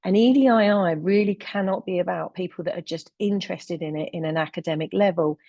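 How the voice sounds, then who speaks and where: A woman's voice: lowish voice, brisk, warm tone, dry recording, highs cut off. A woman from London with a London accent, presenting a webinar as deputy director, earnest, with frequent ums and uhs. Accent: London English.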